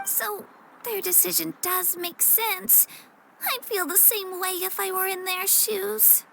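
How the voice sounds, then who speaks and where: A woman's voice: very high-pitched, steady tone, slow, vocal fry, sharp tone, slight background noise, exaggerated voice, poor audio. A woman voicing an earnest, modest young warrior girl in a video game, youthful and a little hesitant. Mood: resigned, humble. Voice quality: youthful, girlish. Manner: earnest, self-effacing, hesitant. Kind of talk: video game character voice acting.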